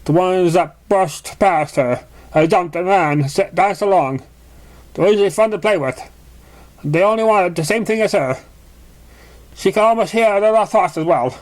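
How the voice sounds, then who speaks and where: A man's voice: high-pitched, moderate pace. A man reading a story aloud as an amateur narrator, stumbling over words now and then. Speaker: amateur reader of fan fiction.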